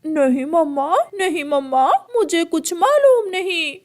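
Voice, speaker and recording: Actor doing a super high chirpy kid crow, eager and a bit naughty, cartoon style. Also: lively intonation, measured pace. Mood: pleading. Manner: chirpy, childlike. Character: eager, mischievous young boy crow. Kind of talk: cartoon dialogue.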